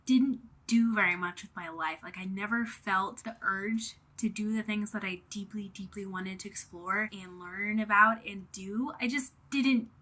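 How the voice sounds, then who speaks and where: A young woman with a cold and slightly sniffly, talking candidly and rambling to camera in a reflective personal vlog, with many fillers and the odd soft whisper. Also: medium pitch, unhurried, hardly any pauses, rough recording. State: has a cold, a bit sniffly. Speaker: vlogger.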